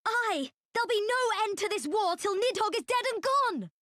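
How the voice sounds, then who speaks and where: A bitter, war-hardened townswoman from a video game. Her voice is extremely high and impassioned, calling out loudly in a dry recording. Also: expressive, moderate pace, no echo. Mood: bitter resolve. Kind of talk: game crowd-scene voice acting.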